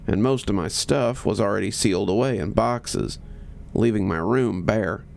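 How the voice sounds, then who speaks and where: A man reading a scripted horror story in measured, deliberate phrases with pauses. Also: fairly low pitch, creaky, a little mellow.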